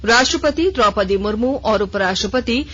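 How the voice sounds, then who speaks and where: A woman newsreader with a somewhat high voice who reads a bulletin in a formal, even, measured way. The sound is muffled and lo-fi, like an old radio broadcast. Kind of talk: read news bulletin.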